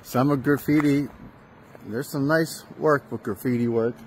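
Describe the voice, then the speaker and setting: A man's voice: mid-range voice, steady tone, a little slow, slight background noise, low-quality recording. Rambling man narrating a walkabout. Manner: rambling, self-correcting, plain-spoken. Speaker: urbex vlogger. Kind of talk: casual walking narration. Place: outdoors and in a large abandoned industrial building.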